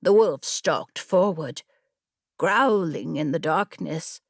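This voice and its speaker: A woman's voice: sits low, slow pace, vocal fry, no room sound, clean studio sound. A woman narrating scripted fiction as a dramatic audiobook reader.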